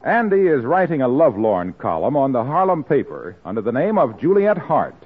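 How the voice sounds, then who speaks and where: A man's voice: mid-range voice, very animated, brisk, over loud music. A man announcing an old radio show in an emphatic, scripted 1940s sales style. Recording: muffled old radio recording.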